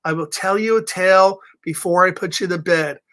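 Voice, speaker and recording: A man voicing a wise, gentle mother chickapig, telling a tale in a measured, reassuring way for a children's storybook. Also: sits high. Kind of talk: read-aloud children's storybook.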